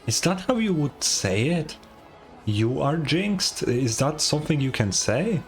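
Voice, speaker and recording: A man who is not a native English speaker, giving casual commentary on a game, musing aloud and swearing. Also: medium pitch. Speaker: gaming streamer. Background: game music.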